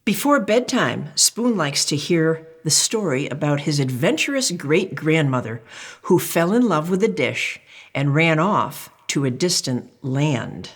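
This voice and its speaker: A woman's voice: sits low, big pitch swings, unhurried. An older woman reading a children's picture book aloud expressively, as a storyteller.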